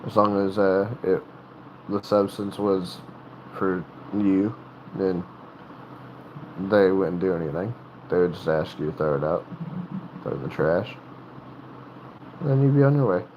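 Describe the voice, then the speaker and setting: A man's voice: sits low, a little mellow, dull audio, slight background noise. A man from North Carolina with a Southern accent, chatting casually on a livestream, rambling and restarting his sentences. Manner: rambling, halting, many restarts. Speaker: livestream host.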